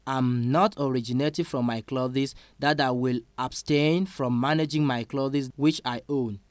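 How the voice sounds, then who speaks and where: Male church interpreter, slow and deliberate, slight stumbles, dry recording. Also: medium pitch, steady tone, dry recording. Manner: slow, careful, with small slips and fillers. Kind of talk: consecutive sermon interpreting.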